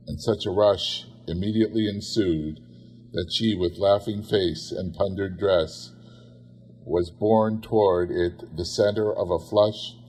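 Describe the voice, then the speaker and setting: A man narrating a literary story expressively, in a measured, theatrical manner. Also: deep voice, a little mellow, a little hiss or hum. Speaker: audiobook narrator.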